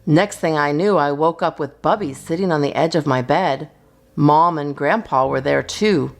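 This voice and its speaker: A woman host giving an expressive, theatrical reading of a children's story. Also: low voice, normal speed, creaky.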